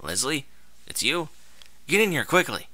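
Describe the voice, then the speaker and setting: A man's voice: fairly high pitch, sing-song, normal speed, no echo, voice pushed up. A man voicing an agitated, irritable male pony inventor who lives as a paranoid recluse in an audio story. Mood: surprised, urgent. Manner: loud, agitated, blustering. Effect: voice over intercom speaker in the story.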